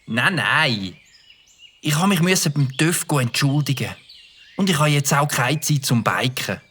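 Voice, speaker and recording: An earnest young schoolboy in a children's radio play. His voice sits fairly high and moves up and down with plenty of expression. He sounds sheepish and good-hearted. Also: lively intonation, unhurried, bright, forceful tone, breathy edge, exaggerated voice. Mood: hurried. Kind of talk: children's audio drama acting.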